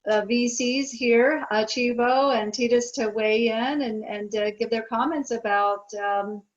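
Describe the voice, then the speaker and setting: A woman moderating an online panel, handing over to the guests in a slow, hesitant way. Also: on the high side, steady tone, highs cut off.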